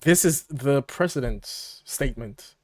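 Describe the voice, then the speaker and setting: A man co-hosting a podcast, giving conversational commentary and recapping in an animated, paraphrasing way. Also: medium pitch, measured pace. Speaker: podcast co-host.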